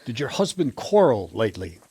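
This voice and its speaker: Casting: brusque military commander, medieval game drama, very varied intonation, dry studio sound. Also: male voice, mid-range voice, sing-song, a little fast, dark tone, breathy edge, dry recording. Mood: probing. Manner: authoritative, blunt, oath-swearing. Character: gruff, commanding medieval captain of soldiers, grimly determined. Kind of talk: dramatic video game acting.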